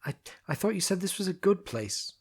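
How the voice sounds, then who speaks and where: A man's voice: high voice, big pitch swings, a little fast, slightly rough, pitched above the performer's own voice, full character voice. A man voicing a nervous young male companion who stammers shakily. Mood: shaky. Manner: stammering, shaky.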